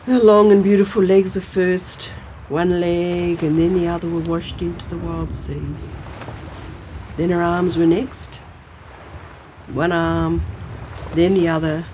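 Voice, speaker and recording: Actress as low-voiced older Australian grandmother, crickets behind. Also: noisy background, natural voice. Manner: homely, colloquial. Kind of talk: naturalistic audio drama dialogue and storytelling.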